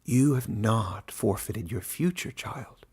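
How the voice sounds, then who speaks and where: A man voicing a calm, mysterious warden, a kindly sage with a measured way of talking, in a fantasy story. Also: lowish voice, breathy edge, full character voice. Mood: calm, knowing.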